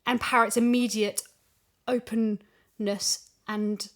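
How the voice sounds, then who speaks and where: High-voiced woman in casual discussion. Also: flat delivery, slow. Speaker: audio drama voice actress.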